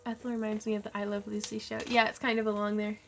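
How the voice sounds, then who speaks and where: A woman's voice: medium pitch, flat delivery, fast talker, vocal fry, slight background noise. A woman hosting a casual craft livestream, chatty and laughing often.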